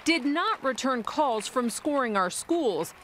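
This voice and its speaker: A woman reporter reading a scripted news voice-over with a measured, even delivery. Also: sits high.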